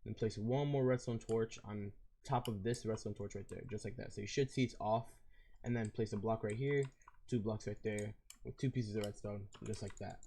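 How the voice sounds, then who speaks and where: A hoarse man with a raspy voice, walking through a game build in a casual, rambling way. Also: fairly low pitch, fairly even intonation, normal speed, warm tone. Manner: casual and rambling, with fillers and self-corrections. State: hoarse, losing his voice. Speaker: video game tutorial creator. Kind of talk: casual step-by-step game tutorial.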